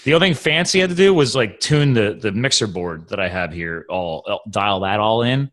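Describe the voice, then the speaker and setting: A man with a fairly low voice talks casually as a podcast host. He rambles in a chatty way, restarting his sentences as he goes. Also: lively intonation, normal speed, fairly bright tone. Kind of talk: casual chat about podcast gear.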